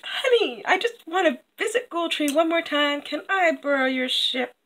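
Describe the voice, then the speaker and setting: Vain evil storybook queen, super high n swoopy, wailing and melodramatic. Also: lively intonation, fairly bright tone, rough recording. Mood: sweetly coaxing. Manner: drawn-out, melodramatic, wailing delivery. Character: vain, wicked queen, theatrical and scheming.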